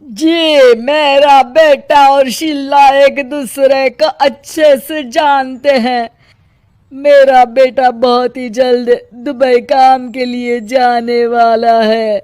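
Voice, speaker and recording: A polite, wheedling middle-aged father from a cartoon , acted by a woman. The delivery is slow and deferential, in a fairly high, coaxing voice. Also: steady tone, a little mellow, no echo, clean studio sound.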